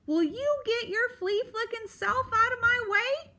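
A woman's voice: sits high, animated, measured pace, sharp tone, pitched above the performer's own voice, heavily acted. A woman voicing a grumpy old male porcupine who bellows and hurls insults in a storybook reading. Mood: cranky, bellowing. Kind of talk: storybook read-aloud.

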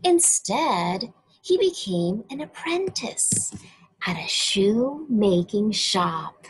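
A woman from the United States reading a picture book aloud to children, slow and expressive, asking them questions. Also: medium pitch, a little hiss or hum, low-quality recording. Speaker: children's story reader.

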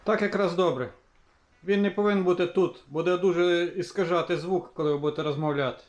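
A man giving a relaxed, casual product demonstration, thinking aloud with small hesitations. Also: sits high, fairly quick. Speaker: motorcycle vlogger.

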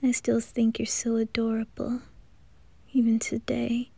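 Woman playing a mellow, tender boy in love. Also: a little high, completely flat delivery, unhurried, no room sound, full character voice. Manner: gentle, breathy, often near whispering. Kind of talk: amateur romantic ASMR roleplay.

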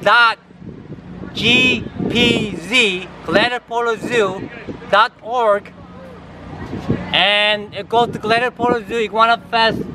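An older man from Korea with a Korean accent, talking haltingly with many fillers as a breeder in a casual outdoor interview. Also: sits high, steady tone, a crowd in the background, low-quality recording. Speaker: reptile breeder and farm owner. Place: outdoors beside reptile enclosures. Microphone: camera mic, close framing. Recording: outdoor recording with loud background noise.

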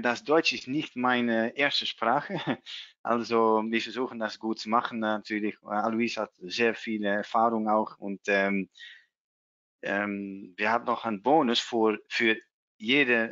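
A man with a Dutch accent, presenting a trading webinar in German as a non-native speaker, halting and searching for words with many fillers, over a video call. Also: on the low side, a bit bright, dry recording. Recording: dull lo-fi video call audio.

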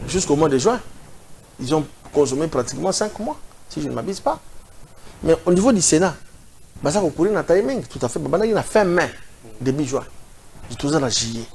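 Homme congolais aux fillers fréquents. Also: male voice, on the high side, slight background noise. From Équateur province, DR Congo. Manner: animated, hesitant, many fillers, gesturing. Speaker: political commentator. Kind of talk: TV debate. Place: TV studio set.

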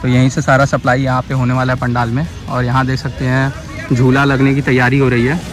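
A man's voice: medium pitch, monotone, fairly quick, over music. A young man with a Varanasi Hindi accent talks viewers through a site, chatty and pointing things out, filmed outdoors on a phone held at arm's length. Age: young adult. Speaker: vlogger. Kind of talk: walkthrough vlog narration. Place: outdoor sports field in a city. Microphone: phone camera held at arm's length.

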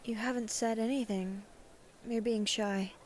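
A woman's voice: on the high side, fairly even intonation, unhurried, a little hiss or hum, natural voice. A woman playing a chatty young cybergoth college student in a casual ASMR roleplay. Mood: friendly, chatty.

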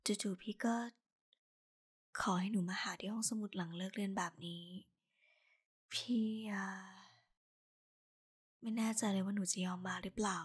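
A woman voicing a gentle, bashful older schoolgirl in an anime style, soft and breathy. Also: mid-range voice, little pitch movement, a little slow, long pauses. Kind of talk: anime-style voice pack acting.

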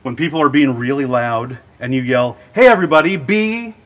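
A man hosting an interview in a sound booth, running a jokey quiz game with playful deadpan punchlines. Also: medium pitch, moderate pace. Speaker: host.

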